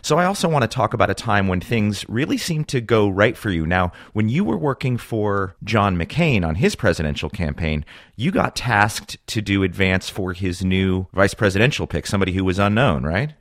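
A man's voice: on the low side, expressive, moderate pace, no room sound, clean studio sound. A man with an American accent working as a radio correspondent, putting questions to a guest in a broadcast interview.

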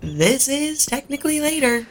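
An actress playing a proud, insistent young princess in an audio drama, earnest and formal. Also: female voice, high voice, big pitch swings, normal speed, a little hiss or hum.

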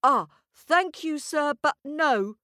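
A woman's voice: high voice, lively intonation, slow, bright, forceful tone, dry recording, voice pushed up, full character voice. A nervous young boy, polite but hesitant, with a raised, light voice, as a woman storyteller puts him on. The sound is close and dry. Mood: politely nervous.

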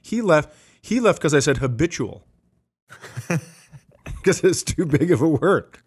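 A man's voice: a little high, very animated, a little slow, slightly rough. A middle-aged man hosting a comedy podcast in casual chat, telling stories with an amused, ready-to-laugh manner.

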